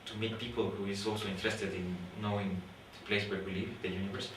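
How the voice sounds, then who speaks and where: Guatemalan man speaking halting English. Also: lowish voice, level tone, a bit bright. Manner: halting, many fillers and restarts, thoughtful. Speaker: PhD student in astronomy. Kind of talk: interview, explaining his research. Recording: roomy, distant mic with faint background noise.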